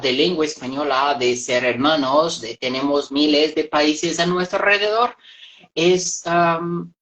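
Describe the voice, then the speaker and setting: A woman's voice: low voice, fairly even intonation, measured pace, a bit bright, poor audio. A woman who teaches languages, talking casually as an interview guest.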